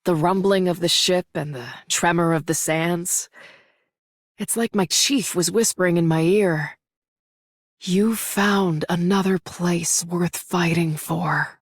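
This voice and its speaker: A woman's voice: on the low side, fairly even intonation, slow, slightly rough, no room sound, clean studio sound, exaggerated voice. A woman playing an earnest, steadfast character in scripted video game lines. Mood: earnest, nostalgic.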